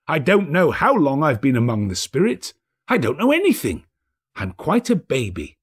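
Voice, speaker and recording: A man voicing a giddy, overjoyed old miser reformed overnight, excitable, breathless and full of exclamations, in a storybook reading. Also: fairly high pitch, normal speed, slightly rough, voice pushed up, heavily acted. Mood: giddy glee.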